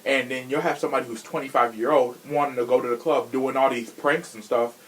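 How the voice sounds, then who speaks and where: A man with a mid-pitched voice hosts a casual podcast conversation. He talks in a sassy, slangy way and gives his opinions freely. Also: steady tone, fast, fairly bright tone, poor audio. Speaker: podcast host.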